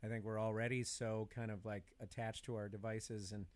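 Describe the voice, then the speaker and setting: A man's voice: fairly low pitch, flat delivery, fairly quick, darkish tone. Middle-aged man chatting casually on a podcast. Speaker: podcast speaker.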